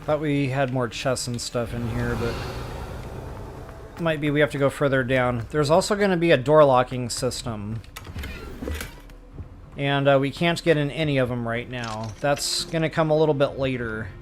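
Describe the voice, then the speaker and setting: A man's voice: mid-range voice, moderate pace, slight background noise. A man giving casual, chatty walkthrough commentary as a gaming streamer, addressing viewers informally.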